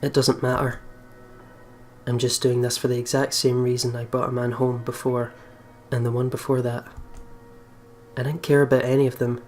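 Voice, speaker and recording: A man's voice: medium pitch, level tone, normal speed, darkish tone. A man hosting a let's play, casually commenting and reading story text aloud. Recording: game music behind the voice. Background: visual novel game music.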